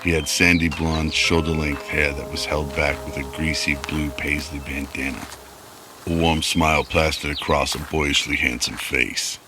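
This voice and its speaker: A man's voice: a bass voice, fairly even intonation, normal speed. Man, narrator, bottom-register voice, scripted audio drama storytelling, music bed behind.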